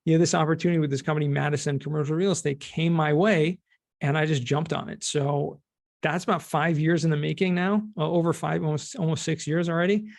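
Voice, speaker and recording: Creaky-voiced middle-aged American man, fairly high. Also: steady tone, fairly quick. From California, United States. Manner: explanatory, talks in plain words. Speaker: podcast guest, cost segregation expert and former teacher. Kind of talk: conversational interview.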